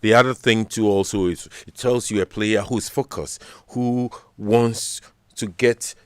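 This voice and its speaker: A Ghanaian man with a Ghanaian English accent speaks in a low, smooth and resonant voice that sounds close and dry, like a radio studio. He talks in a measured, earnest way and repeats words as he gathers his thoughts. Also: steady tone, no echo. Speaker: sports radio pundit. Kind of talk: studio sports discussion.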